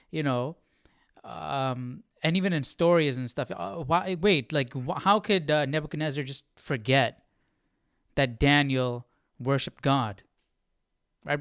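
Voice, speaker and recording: A man hosting a live Bible study with casual, animated commentary, thinking aloud in halting bursts. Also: on the high side, clean tone, dry recording, studio quality.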